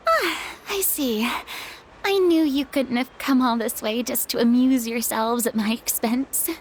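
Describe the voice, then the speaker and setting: A proud, theatrical young woman, a retired stage star, voiced by a woman, with a high, bright voice. She speaks in a dramatic, grandiose way with self-pitying sighs. This is video game voice acting. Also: a little slow, a little hiss or hum, close to an everyday voice.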